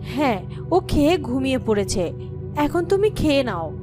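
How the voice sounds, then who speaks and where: A woman's voice: high-pitched, big pitch swings, normal speed, over music. A woman voicing a devoted, anxious village mother in a fairy-tale cartoon, fretful and dramatic. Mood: tender.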